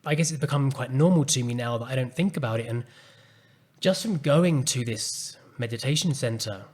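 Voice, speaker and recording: A man hosting a solo podcast, speaking calmly and earnestly as he reflects on a personal story. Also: medium pitch, moderate pace, husky. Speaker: podcast host and coach.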